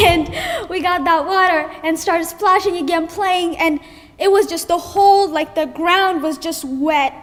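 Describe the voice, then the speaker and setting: High-pitched young woman from Ethiopia, animated live storyteller, long hall echo. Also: a little slow. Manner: animated, acts out her own panicked thoughts. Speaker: student storyteller. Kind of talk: personal story told on stage.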